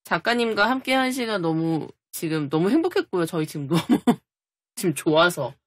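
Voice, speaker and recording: Woman podcast host, effusive, animated intonation, lowish pitch, casual chat. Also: normal speed, dry recording, clean studio sound. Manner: effusive, exclamatory.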